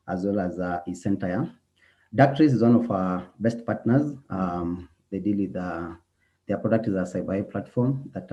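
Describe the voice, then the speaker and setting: A man with a low voice introduces a webinar session over a call. He speaks haltingly, pausing often on "uh". The audio is dull and lo-fi. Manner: hesitant, many "uh" pauses.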